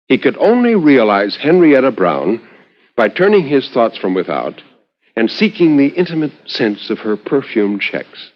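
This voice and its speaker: A man reading a literary story as a 1950s radio narrator, measured and expressive, lingering on phrases with dramatic pauses. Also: fairly low pitch. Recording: dull vintage 1950s radio recording.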